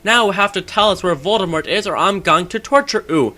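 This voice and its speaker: A man's voice: high-pitched, animated, a little fast, bright, forceful tone, pitched above the performer's own voice. A man voicing a melodramatic, overwrought teenage goth girl from a fan-fiction story. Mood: angry. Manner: overwrought, exclaiming. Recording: music behind, amateur game microphone.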